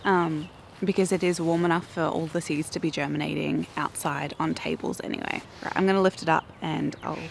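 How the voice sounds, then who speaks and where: A young woman with an Australian accent and a croaky morning voice, chatting casually and self-mockingly to camera in her backyard garden. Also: sits low, steady tone, fairly quick, slight background noise. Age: young adult. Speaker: flower farming vlogger. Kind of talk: casual vlog talk to camera.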